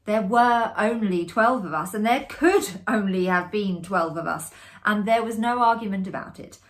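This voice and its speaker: A woman giving a measured, dramatic performed reading of a classic ghost story, with expressive pauses. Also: medium pitch.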